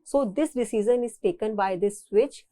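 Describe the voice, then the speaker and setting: A woman's voice: medium pitch, moderate pace, warm tone. A woman with an Indian accent giving a technical engineering lecture, explaining step by step and often repeating and rephrasing her phrases.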